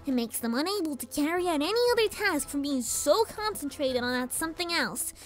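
A scheming little pony girl with a high, swooping voice. She is sassy and quick to argue, like a bratty cartoon villain. Music plays under her. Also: expressive. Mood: chatty, confident. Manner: sassy, defensive. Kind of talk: animated-style fan audio drama.

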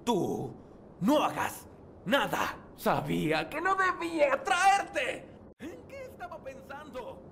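A man playing an anxious, hapless office tech worker and dad in a cartoon dub, with an excitable manner. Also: very high voice, slow pace, vocal fry, slight background noise, exaggerated voice. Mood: despairing. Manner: excitable, panicky, swinging into shouts. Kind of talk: cartoon fan dub acting. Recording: music behind the voice.

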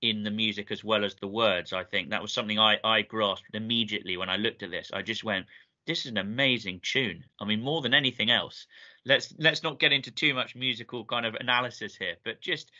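A man's voice: fairly low pitch, brisk, sharp tone. A British man in his thirties interviewing conversationally over a video call, enthusiastic, often repeating phrases as he gathers his thought. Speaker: interviewer, school music staff. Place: indoors, room with bookshelves. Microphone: video call, computer mic. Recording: slightly dull video call audio.

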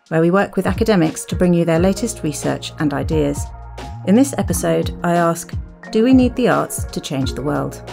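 Low-pitched creaky woman podcast host. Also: darkish tone. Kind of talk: scripted narration.

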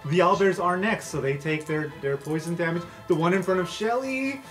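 A man's voice: high voice, moderate pace. A man narrating an improvised tabletop game as game master, informal and given to swearing. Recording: music behind the voice. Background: loud background music.

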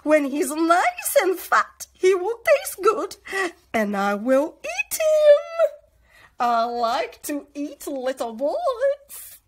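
A woman voicing a wicked old witch from a children's storybook, drawn-out and menacing. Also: high-pitched, huge pitch swings, voice pushed up, heavily acted. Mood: gloating menace. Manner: drawn-out, loud, menacing sweetness. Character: wicked old witch who eats little boys.

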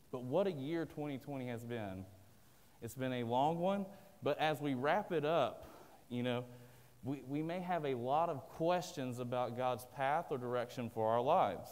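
A man's voice: mid-range voice, darkish tone. A man from the American South with a Southern accent, preaching an earnest, plain-spoken sermon from a pulpit. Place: large church sanctuary indoors. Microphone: at a pulpit, filmed by a far camera. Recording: long church-hall reverb.

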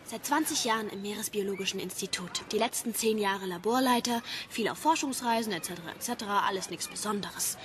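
An actress playing a curious, cheeky journalist in naturalistic radio-play dialogue, conversational in manner. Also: female voice, medium pitch, brisk, hardly any pauses, fairly bright tone, slight background noise, close to an everyday voice. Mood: teasing, matter-of-fact.

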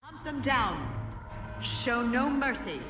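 A ruthless game commander, stern and measured. The voice is fairly high for a man and sounds set back in a long echoing hall, under loud music. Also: very animated, distant mic, heavily acted. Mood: cold command. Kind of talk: video game mission briefing lines.

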